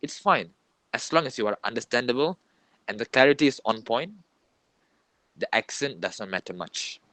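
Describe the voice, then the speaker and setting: A man's voice: mid-range voice, expressive, moderate pace, fairly bright tone, no echo. Young Malaysian man, rambling podcast chat. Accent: Malaysian English. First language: Tamil. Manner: rambling, repeats himself, adds "lah". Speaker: podcast co-host. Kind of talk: casual chat.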